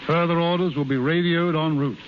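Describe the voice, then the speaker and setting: An actor playing a frank, avuncular, pragmatic American consul in 1930s radio drama style. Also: male voice, on the high side, fairly even intonation, fairly quick, close to an everyday voice. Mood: official, reading. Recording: muffled, hissy 1930s radio broadcast recording.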